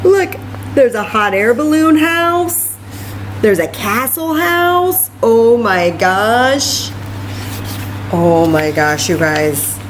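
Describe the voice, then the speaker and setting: A woman hosting a children's library story time, reading a picture book aloud with animated, exclamatory asides straight to her young listeners. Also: a little high, extremely slow, a little hiss or hum, rough recording.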